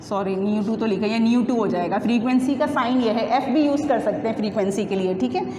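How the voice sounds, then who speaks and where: A woman gives a step-by-step lesson in a slightly high voice, often asking a question and then answering it. She mixes English technical terms into her explanations. The room is echoey with a long reverb, and there is faint background noise. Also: fast. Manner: instructive, poses questions then answers them herself. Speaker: physics teacher. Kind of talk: step-by-step classroom lesson working through numericals.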